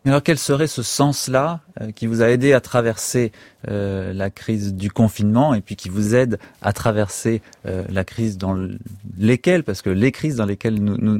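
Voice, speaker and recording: A man hosting an interview, asking his guests brisk, probing questions. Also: fairly low pitch, darkish tone, no echo. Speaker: radio host.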